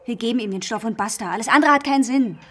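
A woman's voice: fairly high pitch, very fast, lightly acted. An actress playing a hard-pressed young waitress and mother in a German radio crime drama, direct and down-to-earth. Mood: firm, worried. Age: young adult.

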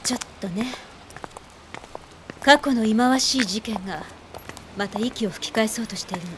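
An actress playing a composed, shrewd criminal profiler and psychiatrist in a radio drama, speaking in a cool, analytical way. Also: female voice, fairly high pitch, normal speed, noisy background, close to an everyday voice. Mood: reflective, grave.

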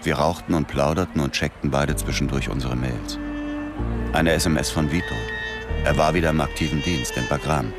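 A man's voice: very deep voice, steady tone, normal speed. An actor playing a grieving, hard-drinking man who narrates a radio thriller in terse, clipped inner monologue. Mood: reflective. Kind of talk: radio play first-person narration. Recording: loud music bed.

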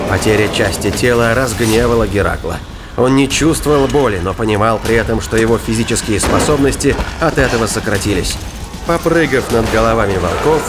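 A man reading scripted dramatic narration for an audio drama. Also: mid-range voice. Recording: loud music and effects behind. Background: music, sound effects.

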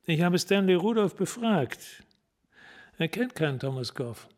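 Bullying, cynical cop for radio crime drama; fairly high pitch, clipped blunt delivery. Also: male voice, big pitch swings, measured pace, a little mellow. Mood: menacing. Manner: blunt, terse, hard-boiled. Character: tough, bullying, cynical male American police detective.

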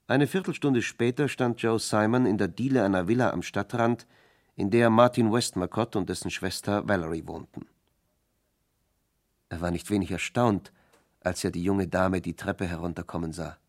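Casting: male narrator for a radio crime play, deep register, scripted storytelling. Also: normal speed.

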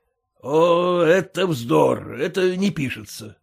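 A man's voice: a little high, expressive, slow, creaky, slightly rough, pitched above the performer's own voice, full character voice. A man voicing a stern, bossy male merchant who questions his clerks curtly, in a story reading. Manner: brusque, commanding.

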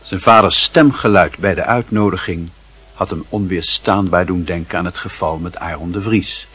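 A man narrating a literary radio play in a grave, measured manner, with a very husky, rough voice. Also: deep voice, fairly even intonation, fairly bright tone.

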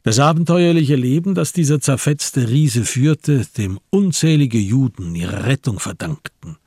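A man's voice: medium pitch, lively intonation, a little slow, warm tone, breathy edge, no echo, studio quality. A man reading scripted literary narration for a radio crime drama.

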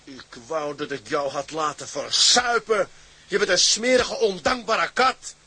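A man's voice: sits high, measured pace, bright, forceful tone, slightly rough, exaggerated voice. An actor playing a hot-tempered seaman in a radio drama, stern and scolding, over background noise. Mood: angry, scolding. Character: angry, hot-tempered rough male seaman. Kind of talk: Dutch radio drama acting.

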